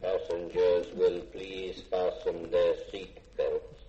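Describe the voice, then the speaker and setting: A man in a 1950s radio drama playing a polite, literal-minded robot servant who speaks formally, measured and deadpan. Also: low-pitched, loud music behind the voice. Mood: formal, impassive. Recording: dull echoey noisy 1950s radio recording.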